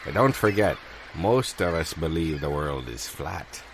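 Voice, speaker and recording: A man playing a grave, slow-witted seasoned pirate telling sea stories in ponderous sailor talk, in improvised audio comedy. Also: extremely low pitch, lively intonation, background noise, heavily acted. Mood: earnest, solemn.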